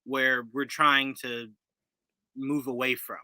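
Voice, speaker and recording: A man's voice: mid-range voice, flat delivery, takes it slowly, sharp tone, no echo. A man co-hosting a casual live reaction chat, joking and teasing, and laughing often. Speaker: co-host guest.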